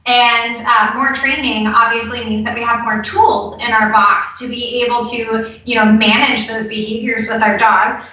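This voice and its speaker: Female panel moderator, bright forceful tone, casual summarizing with hesitations; very roomy online-call audio. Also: fairly high pitch. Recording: echoey, distant, dull online call audio.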